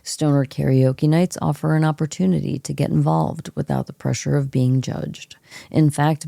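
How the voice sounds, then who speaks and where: A woman co-hosting a podcast with a hoarse, froggy voice, reading an article aloud in a relaxed, unhurried way. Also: low voice, no echo, clean studio sound. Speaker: co-host. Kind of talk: reading an article aloud, then casual chat.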